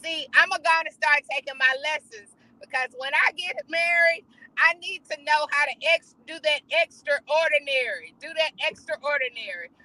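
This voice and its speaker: A woman's voice: high voice, normal speed, sharp tone, low-quality recording. A woman co-host in casual motivational chat, speaking emphatically and repeating phrases for effect. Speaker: talk show co-host.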